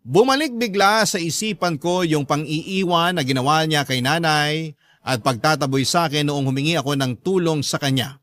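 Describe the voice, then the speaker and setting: A performer voicing a hurt, resentful young adult daughter, narrating a first-person letter in an earnest, emotional, deliberate way. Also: mid-range voice, a bit bright, dry recording, clean studio sound, close to an everyday voice.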